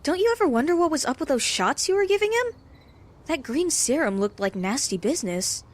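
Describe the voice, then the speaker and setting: A woman's voice: sits high, very animated, brisk. A woman playing a brave, earnest young lab intern in a comic-book audio drama, talking in a conversational, coaxing way. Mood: feigned innocence.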